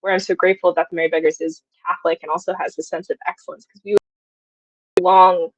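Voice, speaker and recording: A woman's voice: fairly low pitch, level tone, fast talker, no echo, low-quality recording. A young woman chatting casually as an interview guest, effusive and given to telling things through quoted asides. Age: young adult.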